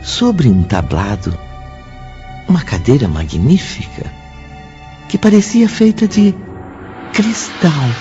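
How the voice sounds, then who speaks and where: A man's voice: medium pitch, wildly swooping pitch, slow pace, pauses often, mellow, full character voice. A Brazilian man narrating a scripted spiritual radio drama in a solemn manner. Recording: dull audio under prominent music.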